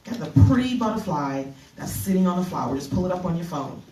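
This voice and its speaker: Middle-aged woman minister from the Bahamas, keynote at a women's retreat; mellow, slightly low voice, faint hiss behind. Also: expressive. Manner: earnest, halting with fillers and restarts. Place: conference room. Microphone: handheld mic. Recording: echoey room from a distance.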